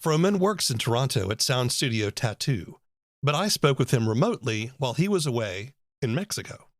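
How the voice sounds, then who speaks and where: A man's voice: medium pitch, big pitch swings, a little fast, dry recording. A man hosting a podcast, reading scripted narration into a close microphone. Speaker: podcast host.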